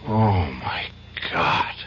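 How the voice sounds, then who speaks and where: An actor playing an earnest, conscientious young defense lawyer in a radio drama, polite but capable of firm indignation. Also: male voice, drawn-out words, whispered. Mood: shocked, indignant. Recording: dull, noisy old radio broadcast recording.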